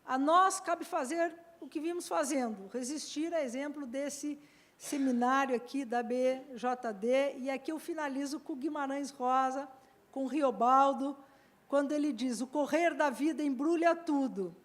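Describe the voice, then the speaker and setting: A woman's voice: sits high, measured pace. A woman law professor giving an academic conference talk, earnest and rising to emphasize key points. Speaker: law professor and panel speaker. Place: seminar hall.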